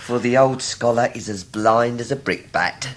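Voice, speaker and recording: An actor playing an oily, falsely humble scheming young clerk in a period radio drama, with a wheedling, sanctimonious manner. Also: male voice, mid-range voice, normal speed, slight background noise, close to an everyday voice. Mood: smug.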